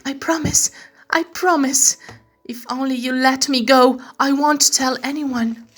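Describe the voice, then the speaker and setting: An anxious young hairdresser from a storybook, played by a woman reader, eager to please and pleading. The voice sits high and earnest, with music underneath. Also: fairly even intonation, unhurried, fairly bright tone. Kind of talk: storybook character voice.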